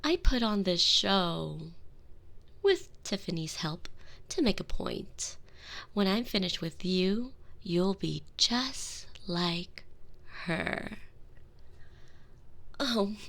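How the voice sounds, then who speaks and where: A woman voicing a commanding, possessive female vampire mistress in a binaural ASMR audio roleplay, speaking slowly and domineeringly, often whispering close to the ear. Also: fairly low pitch, creaky, a bit bright, exaggerated voice. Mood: stern, scolding. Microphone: binaural microphone.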